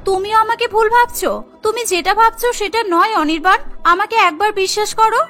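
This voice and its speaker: Woman as an earnest, very high-voiced young wife. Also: moderate pace, pushed and bright, soft background music. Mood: hurt, protesting. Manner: earnest, pleading. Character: earnest, hurt young daughter-in-law, eager to please. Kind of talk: Bengali cartoon voice acting.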